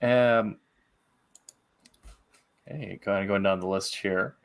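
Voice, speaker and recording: A man with a lowish voice hosts a casual interview. He puts his questions conversationally and haltingly, feeling for the right words as he goes. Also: fairly even intonation, gravelly. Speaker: interview host.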